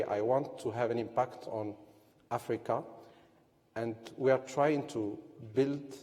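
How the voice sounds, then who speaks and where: A man recounts his path into business on a conference stage. His voice is warm and mellow, his delivery measured and dotted with fillers. Long reverb from a large hall trails his words. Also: mid-range voice. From Morocco. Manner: measured, pausing often with fillers. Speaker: entrepreneur. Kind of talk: personal story on a conference panel.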